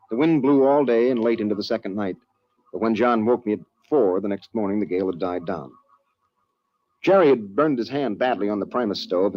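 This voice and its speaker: A man's voice: mid-range voice, close to an everyday voice. An actor playing an earnest, stubborn young mountaineer in an old-time radio drama, dramatically telling of his climb. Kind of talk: old-time radio drama acting and first-person narration. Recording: dull, noisy old radio recording.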